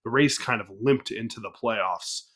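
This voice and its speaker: A man's voice: medium pitch, moderate pace, a bit bright. A man hosting a baseball podcast, recovering from a cold, recapping games in a loose, rambling way full of fillers and restarts. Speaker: podcast host. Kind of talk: casual baseball recap talk.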